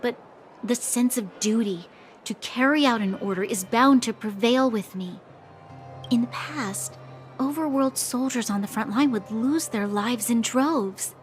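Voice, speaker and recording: An actress playing an earnest, dutiful young female officer in a video game, speaking in a measured, formal, reflective way. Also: on the high side, lightly acted. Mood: conflicted, sombre. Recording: faint music underneath.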